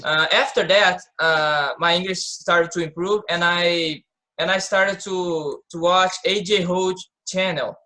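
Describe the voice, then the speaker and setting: Bright-voiced man in his twenties. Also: sits high, fairly even intonation, low-quality recording. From Brazil. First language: Portuguese. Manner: halting, repeats words while searching. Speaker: participant. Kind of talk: casual group discussion.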